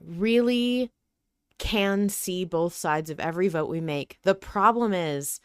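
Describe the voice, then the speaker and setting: A woman hosting a casual podcast discussion, talking in an animated way with emphatic repetition and self-interruption. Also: fairly low pitch, slow pace, smooth, no echo, clean studio sound. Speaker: podcast host.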